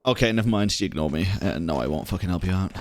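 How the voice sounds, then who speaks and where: A man's voice: deep voice, animated, racing, noisy background. A man casually reacting to a game on stream, joking, chatty and profane.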